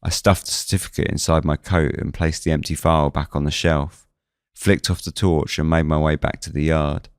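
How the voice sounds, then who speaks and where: Very deep-voiced male storyteller. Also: little pitch movement, moderate pace, no echo, clean studio sound. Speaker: narrator of a fiction podcast. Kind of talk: scripted first-person suspense narration.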